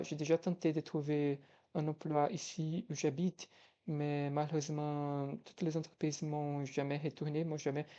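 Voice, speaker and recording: A young man with a Brazilian Portuguese accent talks through his days in a relaxed video diary. His voice is mellow and soft-edged, and he hesitates, backtracks and rephrases as he searches for words in a language that is not his first. The recording sounds a little dull and lo-fi. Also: fairly high pitch, clean tone. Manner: hesitant, frequent restarts and self-corrections. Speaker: language tutor.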